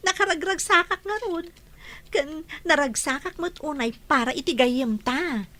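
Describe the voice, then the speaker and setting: A high-pitched, cheerful young gossip. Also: female voice, measured pace, pushed and bright. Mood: delighted. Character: chatty, cheerful young friend bringing gossip. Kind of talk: radio drama acting.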